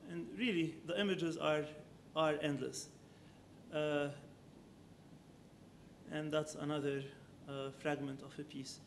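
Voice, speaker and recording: Male professor lecturing to a class, kinda high voice, lots of ums and restarts, faint rumble in the background. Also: fairly even intonation. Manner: measured, thinking aloud, with frequent ums and self-corrections. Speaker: architecture professor giving a lecture. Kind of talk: academic lecture with slides.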